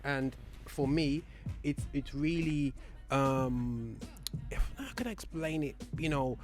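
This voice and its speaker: A man's voice: medium pitch, music in the background. A man hosting a gospel radio show in casual banter, joking and rambling with lots of fillers. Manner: fast, jokey, rambling with many fillers. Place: radio studio.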